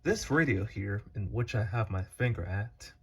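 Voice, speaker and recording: A man giving a casual, unscripted showcase narration in a halting, meandering, explanatory way. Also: lowish voice, animated, breathy edge. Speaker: hobbyist video creator. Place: indoors at home beside a shelf of radios. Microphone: handheld phone behind the camera.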